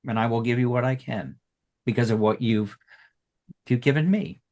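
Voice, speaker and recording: A man's voice: mid-range voice, normal speed, dark tone. A man speaking earnestly and sentimentally as a podcast creator in an unscripted retrospective, often repeating phrases for emphasis. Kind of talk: heartfelt unscripted retrospective talk.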